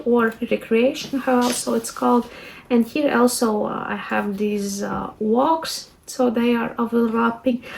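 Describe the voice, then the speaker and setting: Soft-spoken Latvian woman, unhurried. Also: a little high, gravelly, poor audio. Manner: soft-spoken, unhurried, many fillers and halting restarts. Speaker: lifestyle vlogger. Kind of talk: reflective planning walkthrough.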